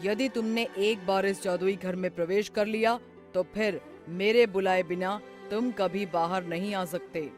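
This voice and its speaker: A woman voicing a calm male sage in a children's story, measured and instructive. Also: mid-range voice, soft background music, natural voice. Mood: calm, cautioning.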